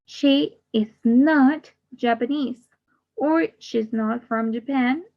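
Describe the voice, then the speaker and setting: A woman's voice: high-pitched, takes it slowly. A woman teaching an English grammar class, repeating each example and then translating it. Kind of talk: bilingual grammar lesson. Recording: muffled lo-fi audio.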